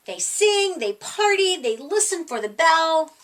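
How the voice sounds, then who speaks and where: A woman reading a children's storybook as an eager, excitable little female mouse with news to share. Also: very high-pitched, measured pace, pitched above the performer's own voice, exaggerated voice. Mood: excited.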